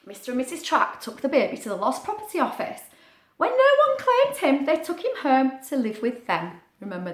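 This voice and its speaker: A woman reading a picture book aloud to young children, expressive, with chatty asides and questions. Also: sits high. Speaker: children's story reader. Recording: roomy, echoing sound.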